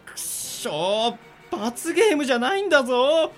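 Man acting a high-pitched, panicky young guy. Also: very animated. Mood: wary. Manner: exclaiming, quick to object. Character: panicky, protesting young man, the straight man of his friends. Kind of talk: comedy radio drama acting. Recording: background music under the voice.

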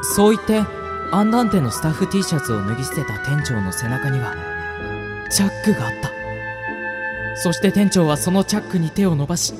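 A man's voice: a little high, sing-song, brisk, in a large hall, over loud music. A man playing a timid young part-time gym worker who also narrates the radio drama. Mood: tense narration. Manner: timid, startled. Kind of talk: scripted first-person radio drama narration.

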